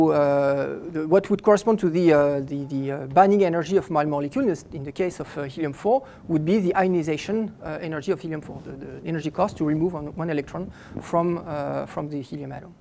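A middle-aged man lectures on physics from behind a lectern in a lecture hall. His voice is mellow and soft-edged, and his delivery is halting, with frequent restarts mid-sentence. He sounds like someone working through an explanation live. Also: on the high side, creaky, a little hiss or hum. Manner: halting, stops and restarts phrases often while explaining. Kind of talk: academic lecture.

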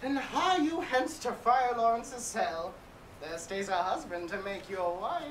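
A woman's voice: medium pitch, expressive, unhurried, close to an everyday voice. A woman playing a fussy, bawdy old nurse on stage in Shakespeare, rambling, groaning and teasing. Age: older. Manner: rambling, exclaiming, theatrical groaning and chuckling. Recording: echoey room, distant mic, faint noise.

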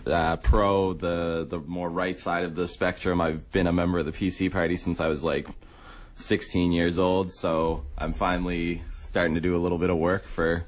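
A young adult man from Canada chatting casually and a little haltingly as a radio host in a studio. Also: sits low, flat delivery. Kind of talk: casual chat.

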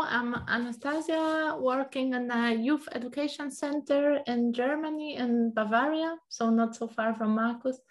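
A woman introducing herself on a video call in a warm, conversational way. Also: a little high. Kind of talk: online meeting introduction.

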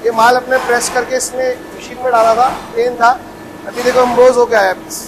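A man showing visitors around a footwear factory, pitching its products with eager, insistent promises. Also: level tone, fairly quick. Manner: eager salesman, keeps inviting and promising quality. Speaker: footwear factory owner giving a tour. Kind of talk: sales pitch factory tour. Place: footwear factory floor, indoors. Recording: noisy factory machinery behind.

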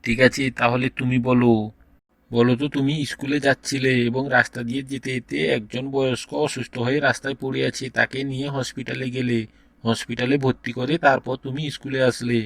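A man's voice: mid-range voice, flat delivery, fairly quick, creaky, fairly bright tone, no echo. A man voicing a strict, impatient education minister who quizzes pupils in a comedy cartoon. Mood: brisk, testing. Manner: bossy, interrogating.

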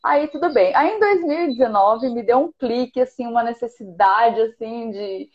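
A woman's voice: on the high side, animated, moderate pace, muffled recording. A woman in her thirties from the São Paulo countryside with a caipira accent, chatting as a guest on a video call, hesitant with many restarts. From interior of São Paulo state, Brazil. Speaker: guest, voice-acting student. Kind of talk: casual video-call chat. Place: small room at home. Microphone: laptop webcam on a video call.

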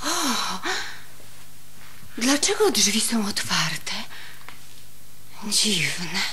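A woman's voice: mid-range voice, sing-song, drawn-out words, noisy background. An actress playing a sweet, trusting little girl in a children's radio play, innocent and wondering. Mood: puzzled, wondering.

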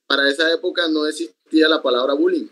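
A young man whose native language is Iku speaks slowly and thoughtfully as an interview guest. He often stops and restarts his phrases while he explains things. The sound comes from a video call made at home. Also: fairly high pitch, steady tone, fairly bright tone. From Sierra Nevada de Santa Marta, Colombia. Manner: measured, reflective, with frequent restarts. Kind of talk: conversational interview. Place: home room, indoors.

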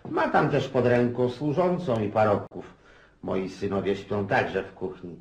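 An actor playing a boorish, domineering Russian country landowner in a radio drama, blustering, bossy and folksy. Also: male voice, on the low side, animated, measured pace, vocal fry, darkish tone, slightly rough, full character voice. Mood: self-satisfied. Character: boorish, boisterous provincial Russian landowner, domineering husband and father. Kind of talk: Polish radio drama acting.

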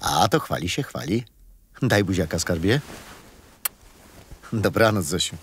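An actor playing a kindly grandfather who tells his grandchildren bedtime stories gently and expressively in a children's radio play. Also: low-pitched, expressive, a little slow, a little hiss or hum. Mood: tender.

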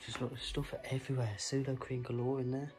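Guy murmuring through a derelict house on his phone, pretty flat delivery, music playing under it. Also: medium pitch. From England. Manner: hushed, hurried, talking to viewers as guys. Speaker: urban explorer filming a walkthrough. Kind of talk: casual handheld vlog commentary. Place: inside an abandoned house, indoors. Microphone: handheld phone. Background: background music.

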